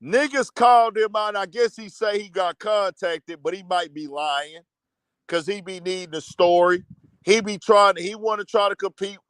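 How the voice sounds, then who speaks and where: A man's voice: high voice, moderate pace, fairly bright tone, smooth, no room sound. Profane, heated man ranting on a stream. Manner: blunt, profane, heated rant. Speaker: commentary show host. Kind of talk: casual livestream commentary.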